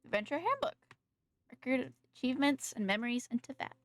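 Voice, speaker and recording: A woman's voice: high voice, wildly swooping pitch, quick pace. A woman streaming a video game, reacting casually and muttering playfully to herself over the game's music. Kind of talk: casual live gameplay reaction.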